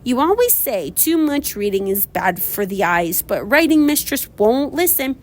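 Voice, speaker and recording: A woman reading aloud as a sulky, self-pitying young girl who speaks in a slow, whiny way. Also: sits high, big pitch swings, pitched above the performer's own voice, full character voice.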